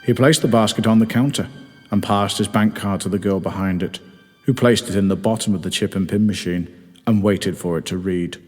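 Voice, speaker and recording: A man's voice: fairly low pitch, fairly quick. A man reading his own comic story as scripted narration, with a mock-dramatic storytelling manner. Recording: music behind the voice.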